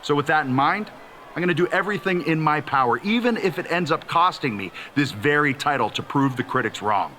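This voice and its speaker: Forceful veteran hero wrestler. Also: male voice, on the high side, animated, a little fast, slight background noise. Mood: earnest. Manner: earnest, forceful. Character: proud, determined veteran hero wrestler, new champion. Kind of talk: wrestling promo acting.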